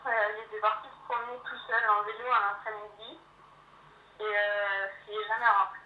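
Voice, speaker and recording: A woman's voice: mid-range voice, flat delivery, brisk, a little hiss or hum. A woman playing a reserved, hesitant older sister, answering questions over the telephone. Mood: resigned, subdued. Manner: reticent, hesitant, matter-of-fact. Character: missing boy's reserved, reluctant older sister. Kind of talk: answering questions on a phone call. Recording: tinny, echoey, distant phone line.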